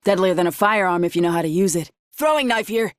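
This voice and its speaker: A woman's voice: fairly low pitch, expressive, fast talker, no echo, clean studio sound, close to an everyday voice. A woman voicing a confident fighter making short callouts in a video game.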